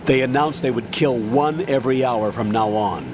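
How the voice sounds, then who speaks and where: A man's voice: medium pitch, lightly acted. Casting: man to play mature military commander, stern and authoritative, mid-range voice for game briefings, noticeable noise behind. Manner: authoritative, measured, firm. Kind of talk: video game voice acting, mission briefing.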